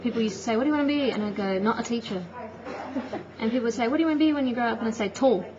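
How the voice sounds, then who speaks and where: A woman's voice: on the high side, racing. Brief: chatty NZ woman, thirties, interview over a dull phone line, crowd chatter behind. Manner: chatty, laughs easily, reflective. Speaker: interviewee, teacher. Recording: muffled phone-call recording with crowd noise behind.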